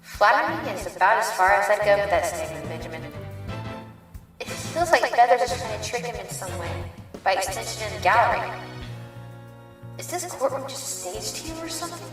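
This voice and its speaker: A woman's voice: mid-range voice, lively intonation, brisk, natural voice. A woman playing an earnest, anxious but feisty young rabbit defense lawyer in an audio drama. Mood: wary. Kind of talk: audio drama acting with inner monologue. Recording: roomy, distant mic, faint music.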